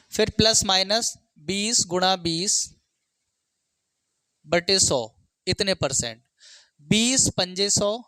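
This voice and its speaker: A man's voice: high-pitched, bright, forceful tone, clear, resonant tone, dry recording. A man teaching maths for an exam, working through problems step by step, asking and answering his own questions and mixing in English terms. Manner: instructional, asks and answers own questions, frequent check words.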